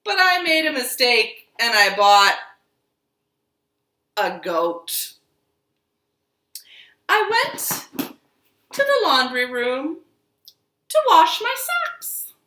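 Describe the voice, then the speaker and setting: Sing-song woman storyteller, very animated and bright. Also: high voice, drawn-out words, pauses often. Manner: expressive, sing-song, exaggerated delivery for young children. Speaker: children's storytime host at a library. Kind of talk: children's storytime with action songs, rhymes and picture-book reading. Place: living room at home. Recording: echoey room.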